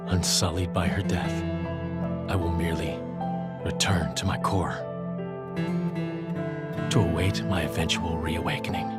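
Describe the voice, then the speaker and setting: Low, slow, brooding stoic warrior. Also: male voice, fairly even intonation. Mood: solemn, resigned. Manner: grave, measured delivery. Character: stoic, brooding male warrior, weary and solemn. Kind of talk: dramatic video game voice acting. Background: music.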